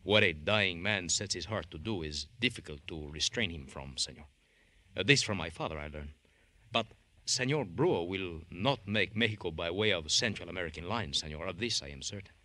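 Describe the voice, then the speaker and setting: A florid, courteous travel agent with a Spanish accent in an old radio play. His fairly low voice is lively and formal, rising and falling freely. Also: moderate pace, full character voice. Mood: philosophical, suave. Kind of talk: old-time radio crime drama acting.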